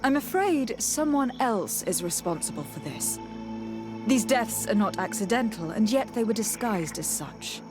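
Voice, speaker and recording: A woman's voice: a little high, sing-song, a little slow. Composed noblewoman, music behind. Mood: firm, accusing. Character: composed, diplomatic noblewoman investigator. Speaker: game character voice actor. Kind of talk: measured video game acting.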